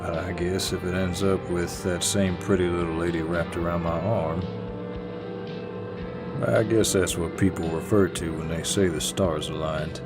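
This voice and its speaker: Guy playing a laid back southern redneck boyfriend, real deep voice, slow gentlemanly drawl, teasing audio rp w music behind. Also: steady tone, close to an everyday voice. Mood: tender, teasing. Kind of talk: intimate boyfriend audio roleplay, acted to the listener.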